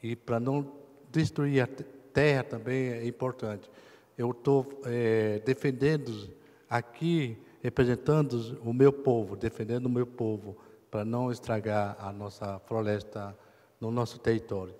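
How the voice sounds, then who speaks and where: Man from brazil, slow halting speech full of fillers, big echoey hall. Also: mid-range voice, steady tone, dark tone. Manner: hesitant, many fillers, slow pauses. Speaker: chief's grandson receiving an honor. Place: ceremony hall. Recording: long reverb in a big hall.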